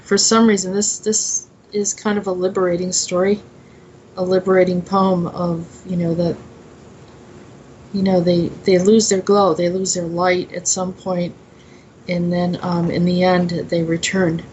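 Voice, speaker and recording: A woman giving an informal spiritual talk in a halting, meandering way. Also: fairly low pitch, level tone, a little mellow, slight background noise, rough recording. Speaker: host and author presenting her own poem.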